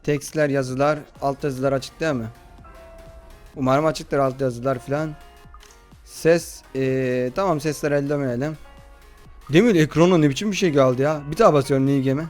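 A man hosting a horror game playthrough in slangy, excitable, casual chat, often addressing his viewers. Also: medium pitch, normal speed, soft background music. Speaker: gaming video host.

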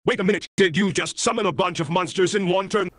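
A man performs a brash young cartoon hero in a parody anime dub. The voice sits high for a male and is theatrical and declamatory, recorded close and dry. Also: fast talker, fairly bright tone, dry recording. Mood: indignant. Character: bold, brash male teenage card-duelling hero.

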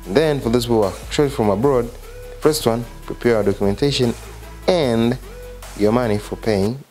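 A man's voice: mid-range voice, normal speed, dark tone, soft background music. A young man from Tanzania with a Tanzanian accent and Swahili as his first language, explaining steps informally into a handheld mic in a living room, often correcting himself. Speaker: vlogger. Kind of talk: casual explanatory vlog. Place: living room, seated on a sofa. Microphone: handheld microphone.

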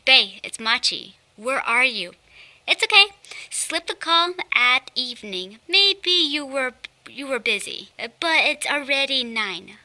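A woman's voice: high-pitched, very animated, takes it slowly, bright, forceful tone, full character voice. A woman playing an impatient, bossy young businesswoman leaving phone messages in a radio play, brusque and exasperated. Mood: impatient, concerned.

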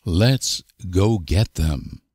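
A man reading short example sentences for language learners with deliberate, careful enunciation. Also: sits low, big pitch swings, gravelly, darkish tone, slightly rough, dry recording, studio quality. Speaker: language teacher.

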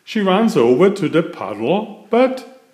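Male storyteller for small children, unhurried and animated, roomy sound. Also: sits high. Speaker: picture book reader and author. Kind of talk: slow read-aloud storytelling for young children.

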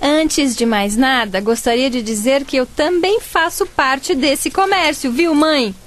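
A young actress playing a student daughter in a radio soap, sarcastic and complaining. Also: female voice, high-pitched, unhurried. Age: young adult. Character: sulky student daughter Ana.

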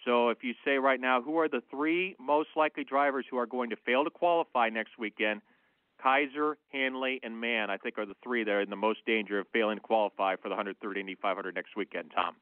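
A man giving measured, analytical, opinionated sports commentary in a conversational way, as a motorsport analyst on a radio show. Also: mid-range voice, steady tone, no echo. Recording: phone-like, narrow-band dry line.

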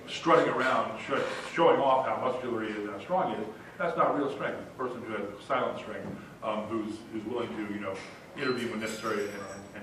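A man's voice: fairly low pitch, background noise. A man speaking as a panelist in a discussion, firm and emphatic. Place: large conference room. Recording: echoey room, far from mic.

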